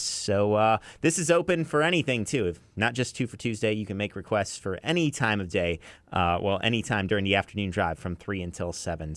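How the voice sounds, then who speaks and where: A man's voice: medium pitch, fairly bright tone, no echo. An American man presenting an afternoon music radio show as its DJ, linking songs and reading sponsor messages. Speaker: radio DJ, afternoon drive host. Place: radio studio. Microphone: close broadcast microphone.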